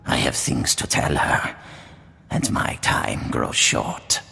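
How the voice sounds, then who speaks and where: An actor playing a dying, ruthless old crime lord in a video game, speaking slowly and gravely with a breathy, gravelly voice. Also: male voice, extremely low pitch, sharp tone, full character voice. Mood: grave, weary. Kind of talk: video game character acting.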